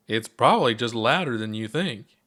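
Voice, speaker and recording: Man as gently teasing alien fiddler. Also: medium pitch, expressive, normal speed, close to an everyday voice. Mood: amused.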